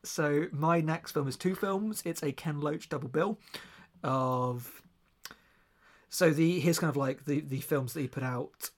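A man's voice: fairly high pitch, unhurried. Man rambling earnestly on a podcast. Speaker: podcast co-host. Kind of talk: casual podcast chat.

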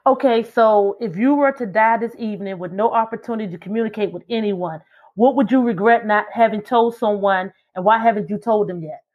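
A woman, co-hosting a casual talk show, speaking in an animated, emphatic way. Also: medium pitch, a little fast, narrow, tinny audio. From United States. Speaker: podcast co-host. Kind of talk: casual conversation.

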